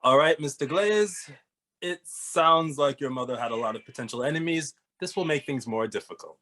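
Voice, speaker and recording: A man reading a serious, formal young detective for ghosts in an animated comedy table read. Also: fairly high pitch, normal speed. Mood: businesslike.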